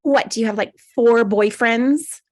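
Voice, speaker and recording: A woman's voice: on the high side, a little slow, no echo, clean studio sound, lightly acted. A woman playing a bitter, awkward, sarcastic single woman down on her luck at a comedy table read. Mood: petty, combative.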